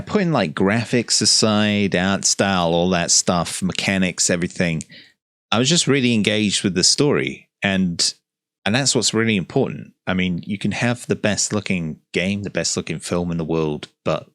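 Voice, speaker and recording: Deep-voiced man, casual gaming commentary. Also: a bit bright, no echo, clean studio sound. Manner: enthusiastic, informal. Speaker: gaming video host.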